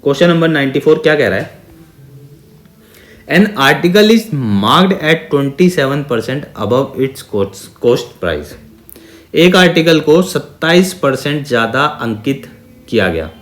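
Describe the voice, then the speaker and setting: A man's voice: a little high, big pitch swings, takes it slowly. An Indian man teaching an exam-prep maths lesson step by step in a didactic way, mixing Hindi with English terms and often asking check-in questions. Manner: didactic, with frequent check-in questions and repeated phrases. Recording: echoey, reverberant room sound.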